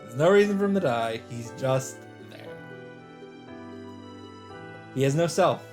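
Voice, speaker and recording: A man's voice: medium pitch, expressive, measured pace, darkish tone. A man hosting a let's play, reading a visual novel's narration aloud in a dramatic storytelling style. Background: game music.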